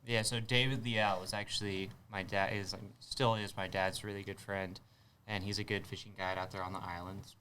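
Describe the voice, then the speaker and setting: A man's voice: fairly low pitch, little pitch movement, normal speed, sharp tone, slight background noise. A man in his twenties from south Texas with an American accent, chatting casually and hesitantly as an interview guest. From south Texas, United States. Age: 20s. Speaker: guest, spearfisher.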